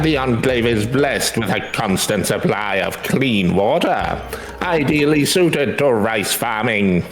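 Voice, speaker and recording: A man's voice: on the low side, a bit bright. Humble, earnest farmer , played by a man, game dialogue, formal and courteous, echoing room, light music. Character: earnest, humble adult rice farmer, polite and enthusiastic. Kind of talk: scripted game dialogue read aloud. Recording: roomy echo, music behind.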